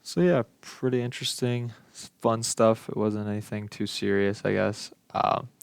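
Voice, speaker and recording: A young adult man giving a casual software demonstration as a student, informal, offhand and a little tentative. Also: lowish voice, steady tone, moderate pace, creaky, clear, resonant tone, no room sound. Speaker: student presenting a final project.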